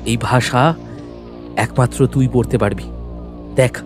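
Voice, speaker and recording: A man's voice: mid-range voice, fairly even intonation, unhurried, close to an everyday voice. Man acting urgent, frightened young man over music. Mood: anxious warning. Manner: urgent, pleading, repetitive. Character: terrified young man in a haunted mansion. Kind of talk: audio horror story acting.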